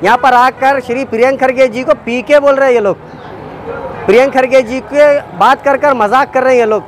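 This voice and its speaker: A man's voice: very high-pitched, fairly even intonation, normal speed, slight background noise. A man with a Deccani accent gives an indignant, accusatory protest statement to the press, hammering his demands repeatedly. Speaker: activist spokesman.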